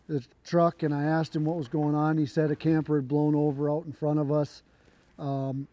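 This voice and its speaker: Hoarse man telling a road story. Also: on the high side, no room sound. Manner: relaxed, rambling, with fillers and restarts. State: hoarse, voice not recovered after a cold. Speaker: trick shooter and channel host. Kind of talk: casual storytelling to camera. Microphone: camera mic.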